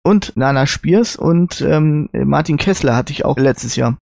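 A man with a slightly high voice talks as a podcast co-host. He is hesitant and feels his way through his opinions in casual chat, recorded dry. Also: expressive, unhurried, creaky, a little mellow, dry recording. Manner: hesitant, searching for words. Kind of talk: casual panel chat reviewing audio dramas.

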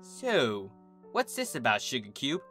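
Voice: medium pitch, wildly swooping pitch, measured pace, pushed and bright, heavily acted. A story reader voicing a folksy, easygoing female farm pony with a Southern US drawl. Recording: music behind the voice.

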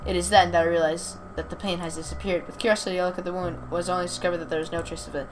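A woman's voice: fairly low pitch, flat delivery, racing, slight background noise, rough recording. A woman reading a visual novel aloud, stumbling over words and rereading them, with off-script comments. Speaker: amateur reader of a visual novel.

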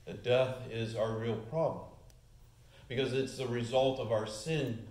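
A man in his forties delivers an earnest sermon from a church lectern, his ordinary mid-range voice rising in pitch and volume as he presses his points. The sound comes from a camera set back in the sanctuary, so the room's echo surrounds every word. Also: unhurried, a little mellow. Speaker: pastor. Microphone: far camera. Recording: echoey church room.

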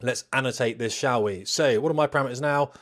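A man's voice: mid-range voice, fast, a bit bright. A British man in his forties, a flying instructor, giving an informal, chatty lecture with frequent rhetorical questions. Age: 40s. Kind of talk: informal instructional lecture over a drawn map.

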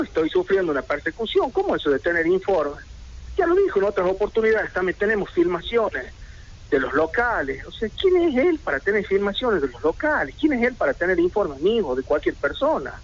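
Indignant Argentine man firing off questions. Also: high-pitched. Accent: Argentine Spanish. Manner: indignant, rapid-fire rhetorical questions. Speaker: merchant speaking to local radio. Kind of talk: impassioned protest statement. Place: indoors, seated in red armchair. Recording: lo-fi radio broadcast audio.

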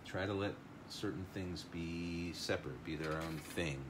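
Very low-voiced man, slow and unhurried, art teacher thinking aloud, noisy room hum. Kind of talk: live watercolor painting lesson.

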